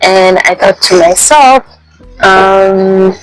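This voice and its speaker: A young woman vlogging casually, speaking softly with a voice made raspy by a flu, pausing often with ums. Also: mid-range voice, soft background music, rough recording. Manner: soft-spoken, halting with many ums. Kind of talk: casual personal vlog. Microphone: handheld mic.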